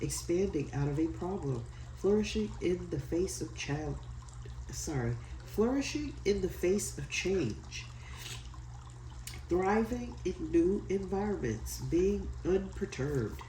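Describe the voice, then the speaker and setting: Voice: mid-range voice, animated, slow, warm tone, recorded from a distance, a little hiss or hum. A tarot card reader with a stuffy nose from a head cold, chatting loosely and apologetically and reading aloud from a guidebook. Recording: echoey room, set back from the mic.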